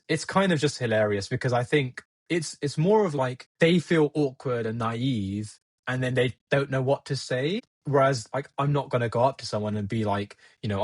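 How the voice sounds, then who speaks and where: A young man whose first language is Gujarati, talking through his thoughts in a relaxed podcast chat and often laughing at himself. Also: medium pitch, no room sound. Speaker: podcast guest. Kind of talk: casual conversation.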